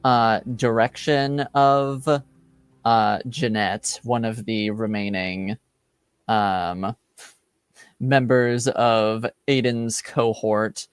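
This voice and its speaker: A man improvising narration as game master of a tabletop game, halting as he thinks aloud. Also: lowish voice, steady tone, clear, resonant tone, dry recording.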